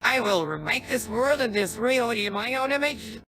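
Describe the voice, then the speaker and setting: A synthetic male voice playing a megalomaniac djinn monster in a game cutscene, with bombastic, shouted declarations. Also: very high voice, lively intonation, normal speed, exaggerated voice. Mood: triumphant mania. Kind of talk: dubbed video game cutscene dialogue.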